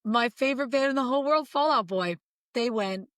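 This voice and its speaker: A woman co-hosting a podcast, hoarse today, chatting excitedly about bands she loves. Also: on the high side, fairly quick, dry recording, clean studio sound. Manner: chatty, excited fan storytelling. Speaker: podcast co-host. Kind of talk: casual podcast chat.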